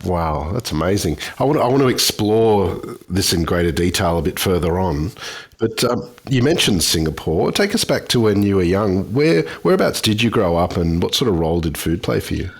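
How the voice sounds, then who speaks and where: A man's voice: low voice, sing-song, moderate pace, husky. A male podcast host interviewing a guest, asking curious, prompting questions.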